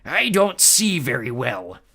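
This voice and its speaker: A man booming as a slow brutish cyclops. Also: very animated, bright, forceful tone, full character voice. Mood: gruff brooding. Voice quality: slow, booming, halting broken grammar. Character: hulking one-eyed male cyclops, brutish.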